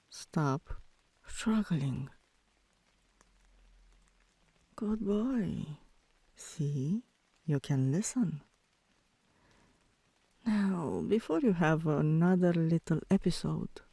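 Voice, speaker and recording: A woman voicing a teasing, softly dominant tomboy girlfriend in an intimate ASMR roleplay, with a low voice that turns breathy at times and a coaxing, commanding manner. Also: expressive, extremely slow, pauses often, no room sound, full character voice. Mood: firm.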